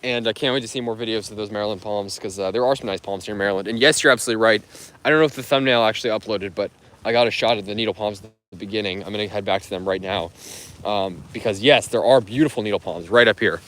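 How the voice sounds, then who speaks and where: A man's voice: lowish voice, fast, a bit bright. A man hosting a live stream from public gardens outdoors, chatting with viewers in a rambling, friendly way full of fillers and restarts. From United States. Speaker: live-stream host answering chat. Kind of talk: casual live-stream chat while walking.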